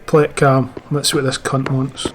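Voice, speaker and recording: A man playing a foul-mouthed, sardonic Scottish hard man who is quick to threaten, in a radio drama. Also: medium pitch, completely flat delivery, music in the background, natural voice. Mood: cocky threat. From Scotland. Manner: sardonic, crude, swearing. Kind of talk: horror radio drama acting.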